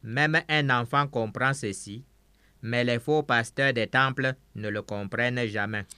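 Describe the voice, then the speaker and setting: A man's voice: mid-range voice, a little slow, bright, forceful tone, clean tone, dry recording. A man preaching a sermon through an interpreter, emphatic, saying each phrase several times over.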